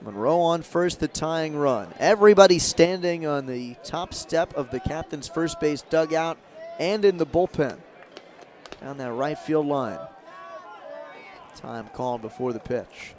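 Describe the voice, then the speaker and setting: A man doing live radio play-by-play of a baseball game as the announcer, in measured calls with emphasis on key moments. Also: fairly high pitch, animated, a little mellow. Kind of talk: live radio sports commentary. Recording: crowd and ambient stadium noise behind. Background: ballpark crowd murmur.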